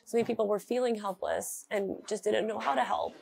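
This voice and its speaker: A woman's voice: mid-range voice, steady tone, fairly quick, gravelly, a little mellow, slight background noise. A young woman, a shop owner, speaking in an interview in an earnest, heartfelt way, on a lapel mic in her shop. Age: young adult. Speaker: small business owner. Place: candle shop, indoors.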